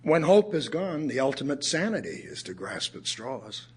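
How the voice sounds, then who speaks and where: An actor playing an ailing middle-aged writer, reciting his poems reflectively in an audio theatre piece, with a husky voice and emphatic pauses. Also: medium pitch, very animated. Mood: wistful. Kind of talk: dramatic audio theatre poetry reading.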